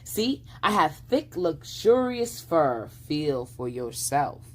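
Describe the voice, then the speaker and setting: A woman's voice: deep voice, big pitch swings, slow pace, heavily acted. Woman playing a pompous, vain male fox. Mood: boastful. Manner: pompous, mock-courtly formal speech.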